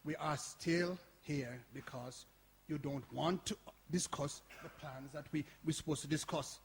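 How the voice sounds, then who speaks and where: Guy playing older community centre man in a radio play, husky n challenging, big echoey hall. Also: a little high, unhurried. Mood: frustrated. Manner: sighing, challenging, insistent. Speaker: actor in a live radio play. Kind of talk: radio drama acting before an audience. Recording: reverberant hall recording.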